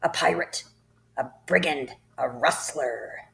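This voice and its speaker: A woman voicing a tyrannical headmistress who barks insults and shouts at a pupil in a storybook reading. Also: rumbling low, slow pace, creaky, full character voice, low-quality recording. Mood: furious. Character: bellowing tyrannical female headmistress, bullying.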